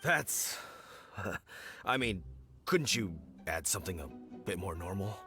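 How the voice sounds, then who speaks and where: A man's voice: deep voice, lively intonation, slow, full character voice. A man voicing an earnest, persuasive village businessman in a video game, emphatic like a salesman. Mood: taken aback. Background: game music.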